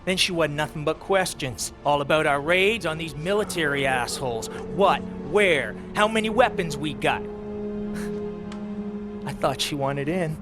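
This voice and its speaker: An actor playing a menacing backwoods raider leader in a video game, speaking in an aggrieved, theatrical, threatening drawl. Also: male voice, on the high side, lively intonation, unhurried, over music. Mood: bitter, menacing. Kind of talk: video game cutscene acting.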